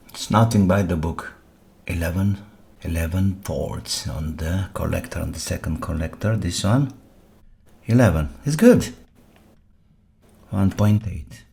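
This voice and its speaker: A man thinking aloud while he repairs electronics, muttering to himself in short fragments with pauses. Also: low voice, gravelly, a little mellow. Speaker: electronics repair video maker.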